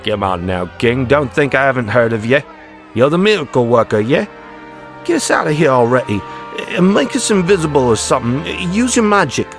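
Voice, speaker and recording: A man voicing a mocking, desperate prisoner with a taunting, hurried delivery in an audiobook drama. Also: mid-range voice, big pitch swings, voice pushed up. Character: mocking, brash male prisoner, sneering and desperate. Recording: music behind the voice.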